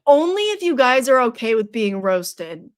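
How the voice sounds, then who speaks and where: A woman's voice: on the high side, sing-song, normal speed. A young woman hosting a live stream, chatting casually with her viewers, playful and teasing, laughing often. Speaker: streamer hosting a horror trivia night.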